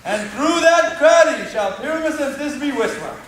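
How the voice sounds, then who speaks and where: A man's voice: very high voice, animated, normal speed, a bit bright. A man acting a bossy, eager weaver and amateur actor in bombastic theatrical Shakespearean style. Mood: excited. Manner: bombastic, commanding. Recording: echoey room, distant mic, faint noise.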